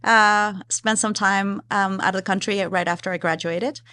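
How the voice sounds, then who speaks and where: A woman's voice: medium pitch, steady tone, normal speed, pushed and bright, smooth. A woman in her forties from Ecuador, a guest in a casual interview, chatting with frequent fillers and easy laughter. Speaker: guest, philanthropy executive.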